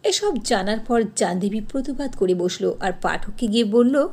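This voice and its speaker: A woman narrating a cartoon story in an expressive storytelling manner. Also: a little high, moderate pace, a little hiss or hum.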